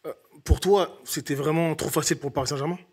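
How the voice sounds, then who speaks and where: A man's voice: fairly high pitch, fairly even intonation, quick pace, a little mellow, slightly rough. A male host moderating a football fan panel, courteous and slightly hesitant. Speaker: show host.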